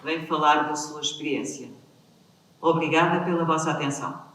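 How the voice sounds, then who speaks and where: A woman's voice: sits low, fairly even intonation, normal speed. A woman with a European Portuguese accent introducing a guest to an audience in a conversational way, with little tag questions. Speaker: event host introducing a guest speaker. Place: large reverberant room at a public event. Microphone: distant microphone. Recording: very echoey hall, distant mic, faint noise.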